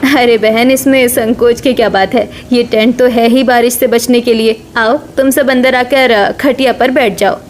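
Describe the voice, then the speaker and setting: Woman playing village housewife, slightly high pitch, warm, hospitable, cartoon drama. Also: steady tone, normal speed, few pauses, a little hiss or hum, lightly acted. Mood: welcoming. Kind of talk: cartoon story voice acting.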